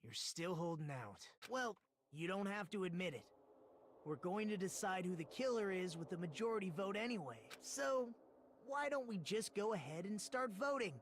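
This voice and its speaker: A man's voice: high voice, animated, normal speed, slight background noise. A man voicing an unsettling, condescending teenage boy with languid delivery in an anime video game. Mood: smug. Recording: game music playing behind the voice.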